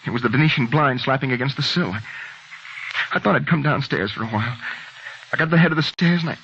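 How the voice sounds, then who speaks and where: An actor playing an anxious, paranoid husband in old-time radio drama, with a brooding, halting delivery that can turn frantic. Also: male voice, mid-range voice, animated, vocal fry, a little hiss or hum. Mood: shaken, afraid. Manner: hushed brooding narration breaking into frantic, halting outbursts. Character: anxious, paranoid blind husband, demanding and fearful. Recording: muffled old radio broadcast, music behind.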